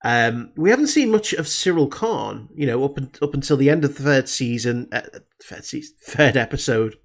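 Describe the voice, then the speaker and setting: A man's voice: medium pitch, lively intonation, normal speed. A young British man with a slight head cold, chatting casually about a show with constant fillers and restarts. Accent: British English. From Britain. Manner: rambling, many fillers and restarts. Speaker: reaction video host. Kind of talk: casual review chat.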